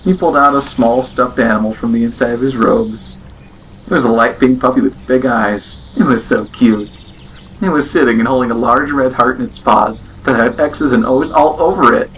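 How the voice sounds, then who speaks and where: A man narrates a scripted story in a medium-pitched voice. It is an amateur recording with slight noise behind him. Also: moderate pace, fairly bright tone, low-quality recording.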